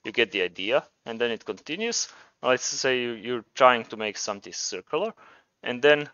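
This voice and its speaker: A man's voice: medium pitch, lively intonation, normal speed, pushed and bright, dry recording, lo-fi sound. A man with a Croatian accent presenting a programming tutorial, talking informally and thinking aloud as he demonstrates. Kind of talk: casual screencast tutorial narration.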